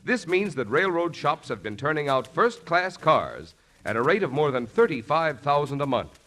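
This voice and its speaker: Bright, emphatic American radio announcer man. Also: a little high, big pitch swings, normal speed. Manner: formal, emphatic, polished. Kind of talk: scripted 1950s radio sponsor narration. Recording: vintage 1950 radio broadcast recording.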